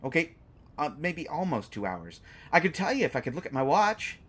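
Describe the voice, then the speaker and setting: A man's voice: a little high, sing-song, normal speed, fairly bright tone, slightly rough, full character voice. A man playing a sarcastic, unafraid hostage who teases a villain in an audio drama, with exaggerated mock reactions. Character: sarcastic, unafraid male hostage taunting a lovesick supervillain. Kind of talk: scripted roleplay audio drama monologue.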